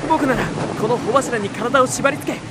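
Urgent young nobleman in a roaring storm. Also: very high-pitched. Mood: stern, desperate. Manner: urgent, commanding, impassioned. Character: devoted young nobleman protecting his sister in a shipwreck. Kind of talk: radio drama acting. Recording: loud rushing storm noise. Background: roaring sea storm effects.

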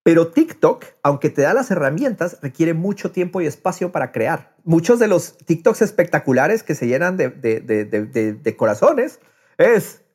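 A middle-aged man with a fairly high voice chats casually on a podcast. He slips English phrases into his sentences and acts out quotes in an animated way. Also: normal speed, breathy edge. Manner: mixes English phrases into speech.

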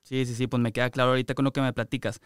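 A man's voice: medium pitch, level tone, very fast, clean tone, no echo, clean studio sound. A man with a Mexican accent hosting a casual interview in a chatty, conversational way. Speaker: interviewer, host. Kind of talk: casual chat.

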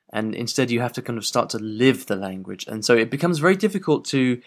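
A man with an English accent hosting a podcast, talking in a loose, chatty way with many fillers and restarts on a poor, broken microphone. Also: mid-range voice. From England. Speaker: podcast host. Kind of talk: casual podcast chat. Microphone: broken microphone with poor sound.

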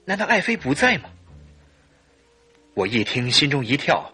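An actor playing a suspicious, anguished husband in a radio drama, with a husky, earnest and theatrical delivery. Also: male voice, medium pitch, sing-song, normal speed, pushed and bright, faint music behind, heavily acted. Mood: troubled. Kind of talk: Mandarin radio drama acting and storytelling.

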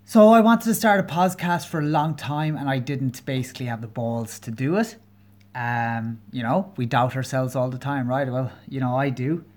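A man of forty with a Kerry Irish accent, hosting his own podcast alone and talking in an earnest, halting way with many pauses and fillers. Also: mid-range voice, a little mellow. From Ireland. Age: 40s. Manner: candid, openly personal. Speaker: podcast host. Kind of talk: solo podcast monologue.

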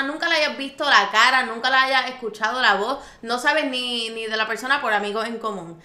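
Casual commentary host, female, Puerto Rican accent. Emphatic, bright delivery with sass and English slang; echoey room sound. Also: on the high side. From Puerto Rico. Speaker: host of an episodic show. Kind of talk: casual opinionated commentary to an audience.

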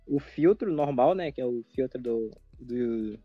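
A young Brazilian man in casual chat, excitable and slangy, swearing often. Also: medium pitch, a little slow, dry recording, highs cut off, soft background music. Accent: Brazilian Portuguese.

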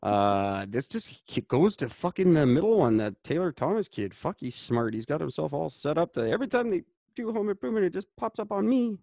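A man's voice: fairly high pitch, big pitch swings, brisk, creaky, few pauses, warm tone, no room sound, studio quality. A man in his forties co-hosting a podcast, rambling and sarcastic, swearing freely in casual banter. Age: 40s. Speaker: podcast co-host.

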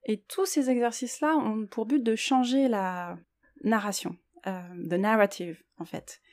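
A woman's voice: a little high, a little slow, a little mellow. A woman hosting a solo podcast, talking reflectively and hesitantly as she thinks aloud. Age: 30s or older. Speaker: podcast host.

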